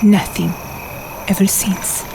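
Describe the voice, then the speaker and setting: An actress playing a grave, determined Italian partisan woman in a video game, speaking with earnest, measured intensity. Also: medium pitch, fairly even intonation, vocal fry, background noise. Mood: somber, resolute. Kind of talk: video game cutscene acting. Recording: music behind the voice.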